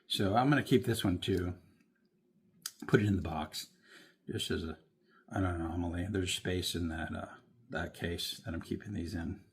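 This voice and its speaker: Low, husky-voiced man showing his collection. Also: normal speed, pauses often. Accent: northern US. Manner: rambling, self-correcting, offhand. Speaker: toy car collector. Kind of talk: casual show-and-tell.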